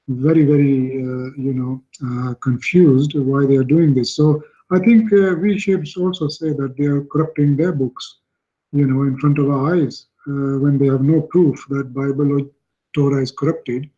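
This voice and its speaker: A man's voice: mid-range voice, fairly even intonation, normal speed, mellow, dull audio. A male caller on an internet call, speaking hesitantly with many fillers. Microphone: call over the internet.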